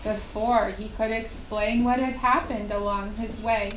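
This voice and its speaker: Slow, echoey woman storyteller. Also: mid-range voice, steady tone, fairly bright tone. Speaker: puppet show storyteller. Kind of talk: gentle scripted children's storytelling. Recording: echoey, distant mic, faint hiss.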